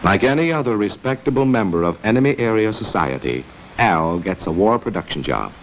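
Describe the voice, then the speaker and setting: Low, animated male narrator, muffled film. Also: a little fast, slight background noise. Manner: sardonic, clipped sentences. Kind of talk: 1940s training film scripted narration. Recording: muffled vintage film with music.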